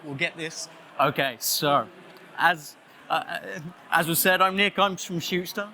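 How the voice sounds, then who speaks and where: A man with an Australian accent giving a playful conference talk, loudly joking and chatting with the audience. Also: on the high side, a little hiss or hum. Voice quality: loud voice. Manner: jokey, playful, chatty with the crowd. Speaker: conference speaker. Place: conference stage, audience on headphones.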